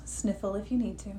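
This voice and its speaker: Sniffly lady leading a meditation, soft and rambly, echoey room with a bit of hiss. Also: mid-range voice. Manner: gentle, rambling, with personal asides. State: sniffly from allergies. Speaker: meditation guide. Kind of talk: guided meditation talk. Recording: echoey room with faint noise.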